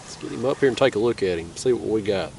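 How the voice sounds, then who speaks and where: A man's voice: on the low side, expressive, fast talker, creaky, darkish tone. A young man, nasal from a cold, chatting casually as he narrates a night fishing trip from a kayak on a river. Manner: laid-back, chatty, drawling. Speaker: fishing vlogger. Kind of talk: casual outdoor vlog narration. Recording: distant camera mic outdoors, faint noise.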